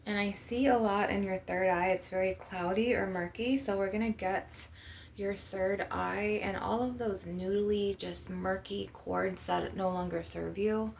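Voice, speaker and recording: A woman with a creaky, fry-edged voice at a middle pitch leads an ASMR energy-healing session. She guides the listener instructively as a spiritual healer. The recording is noticeably noisy, with clicks and clatter. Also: steady tone, a little slow. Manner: instructive, guiding breathing and visualisation.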